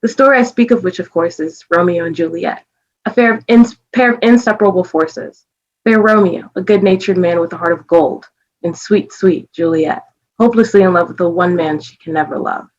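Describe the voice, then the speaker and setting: A woman's voice: on the low side, brisk, lo-fi sound, lightly acted. A woman playing an earnest, pedantic, easily flustered storyteller in a comic stage reading. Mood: grandly romantic.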